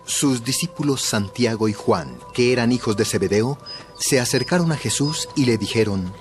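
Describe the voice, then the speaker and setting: A man narrates a dramatized Bible story in a mid-pitched voice. His delivery is scripted storytelling, clearly phrased, with music plainly heard underneath. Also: moderate pace.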